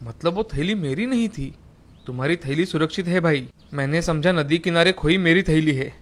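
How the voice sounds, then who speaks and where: A man playing an excitable high-voiced village farmer. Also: moderate pace, close to an everyday voice. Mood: calm, friendly. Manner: melodramatic, exclaiming. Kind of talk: animated moral-story dialogue.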